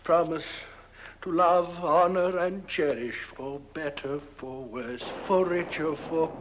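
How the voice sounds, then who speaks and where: An actor playing a flustered, reluctant minister who stammers haltingly through a ceremony in a film comedy. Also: male voice, on the high side, fairly even intonation, highs cut off. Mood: solemn. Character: flustered, reluctant minister performing a bizarre wedding. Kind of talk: 1970s horror comedy film acting. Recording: noisy old film soundtrack.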